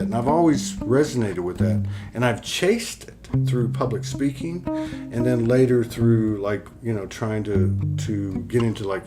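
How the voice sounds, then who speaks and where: A man of middle pitch talks earnestly and rambles, stacking up "like"s and swearing now and then. Guitar music plays clearly behind him. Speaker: host. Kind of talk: casual spiritual chat.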